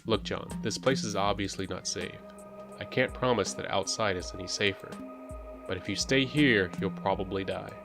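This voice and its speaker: A man's voice: on the low side, moderate pace, vocal fry. A man reading the lines of a terse, secretive medical AI companion from a sci-fi visual novel, matter-of-fact and urging. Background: music.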